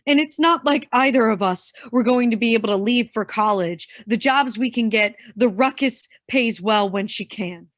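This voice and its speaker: A woman's voice: on the high side, normal speed, few pauses, no echo, studio quality, lightly acted. A woman playing a bitter, defiant young henchwoman in a staged play reading. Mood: bitter, defensive.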